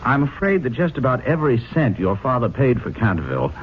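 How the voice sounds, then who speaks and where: A muffled old radio drama recording carries a man playing an apologetic young gentleman. His mid-range voice moves up and down a lot, earnest and quick to defend himself. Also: medium pitch, sing-song, close to an everyday voice. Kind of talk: 1970s radio drama acting. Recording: dull, noisy old radio broadcast.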